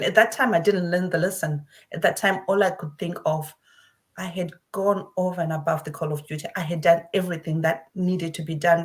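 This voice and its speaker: A middle-aged woman from Zimbabwe telling her own story in earnest, with frequent restarts. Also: lowish voice. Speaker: guest speaker. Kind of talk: personal testimony.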